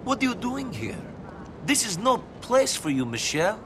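An actor playing a world-weary, blunt immigrant criminal with an Eastern European accent in a video game. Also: male voice, sits high, sing-song, normal speed. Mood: agitated. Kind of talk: video game cutscene acting. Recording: game audio over clear traffic noise.

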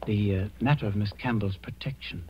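An actor playing an authoritative doctor, measured and formal, in a 1940s radio drama. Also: male voice, fairly low pitch, noisy background, close to an everyday voice. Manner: measured, formal, hesitant pauses. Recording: muffled old radio recording.

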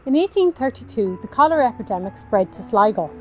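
An Irish woman in her thirties, a tour guide, narrating a scripted walking tour outdoors on a town street in a clear, measured way, recorded on a lapel mic. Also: fairly high pitch, big pitch swings, dark tone, slight background noise. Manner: clear, measured storytelling delivery.

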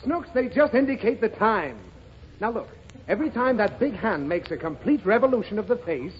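A man's voice: high voice, animated, normal speed. A man playing an exasperated, easily flustered father in an old radio comedy, explaining things fussily. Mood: patient, then exasperated. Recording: muffled, very noisy old radio recording.

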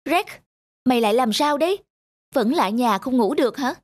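A woman voicing a kind, anxious young female dog adopter in a cartoon, with an expressive, earnest delivery. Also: high-pitched, normal speed. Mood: concerned. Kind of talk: animated cartoon dubbing.

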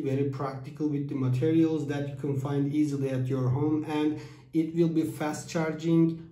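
DIY tutorial host, man in his 30s, very dark mellow tone, halting phrasing, echoey room. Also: on the high side. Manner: halting non-native phrasing with fillers. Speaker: DIY electronics channel host. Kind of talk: step-by-step build tutorial. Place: small room with whiteboard, indoors.

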